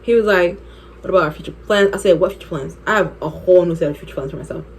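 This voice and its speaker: Chatty young woman with low voice. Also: slight background noise. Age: 20s. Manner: chatty, candid, laughs often, quick asides. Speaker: beauty vlogger. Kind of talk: storytime while doing makeup.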